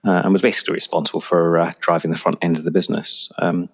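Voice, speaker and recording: Deep, gravelly middle-aged Scottish man. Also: flat delivery, quick pace. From Edinburgh, Scotland. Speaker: retail executive, interview guest. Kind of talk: conversational interview answers.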